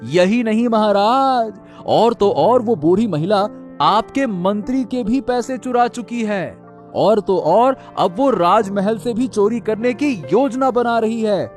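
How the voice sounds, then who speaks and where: A man's voice: high voice, unhurried, darkish tone, soft background music. A man playing an earnest royal subject in a cartoon story, explaining things in a serious, urgent way. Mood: earnest, respectful. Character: earnest male subject exposing a conspiracy.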